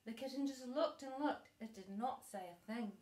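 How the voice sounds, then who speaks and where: A middle-aged woman reading a children's story aloud expressively, with deliberate emphasis for a young listener. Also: on the high side, darkish tone. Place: living room sofa, indoors. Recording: echoey living room.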